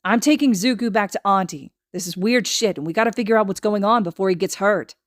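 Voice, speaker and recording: A woman voicing a gruff, bossy, hot-tempered young boy with a barking, scornful delivery in an audiobook. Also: medium pitch, a little fast, no echo, clean studio sound, close to an everyday voice. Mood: irritated. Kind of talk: fan fiction audiobook acting.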